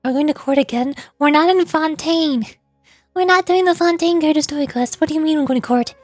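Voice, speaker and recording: A woman's voice: high voice, fairly quick, a little mellow, dry recording, soft background music. A woman livestreamer chatting casually in a playful, sleepy manner. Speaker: VTuber streamer voice-acting game dialogue.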